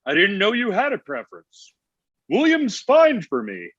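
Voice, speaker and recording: A man's voice: high voice, wildly swooping pitch, moderate pace, a bit bright, breathy edge, muffled recording, full character voice. A man playing Santa Claus as an insecure, petulant, needy husband in a comedy table read, hesitant and easily wounded. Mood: hesitant, puzzled.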